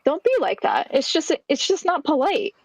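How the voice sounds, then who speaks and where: A woman's voice: sits high, big pitch swings, normal speed, crowd noise. Outspoken woman on a livestream. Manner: outspoken, calls people out. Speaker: livestream co-host. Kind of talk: casual group livestream chat.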